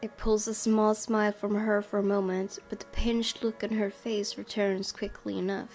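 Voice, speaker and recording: A woman's voice: mid-range voice, level tone, moderate pace, darkish tone. A woman reading a visual novel aloud as scripted first-person narration for a gaming video. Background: music.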